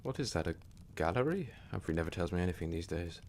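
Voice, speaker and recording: A man playing a flustered, scatterbrained gentleman in an audio drama, with a hesitant, fussy, wry manner. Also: deep voice, animated, quick pace.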